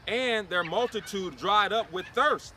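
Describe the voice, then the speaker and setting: A man's voice: high voice, unhurried, a crowd in the background. A man co-preaching informally, reading scripture aloud and adding slangy interjections. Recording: outdoor recording with traffic noise.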